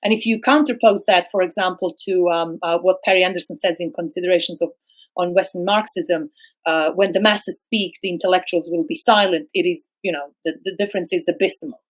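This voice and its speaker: A middle-aged woman who grew up speaking Serbo-Croatian, a guest philosopher on a podcast, speaking slowly and hesitantly with many fillers and restarts. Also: on the low side, fairly even intonation, low-quality recording. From former Yugoslavia. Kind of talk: academic podcast discussion.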